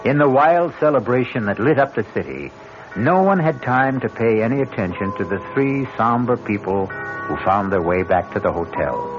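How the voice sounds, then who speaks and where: A man's voice: mid-range voice, lively intonation, moderate pace. A man hosting a radio drama, narrating from a script in a dramatic style. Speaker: host and narrator. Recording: muffled old radio broadcast. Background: music.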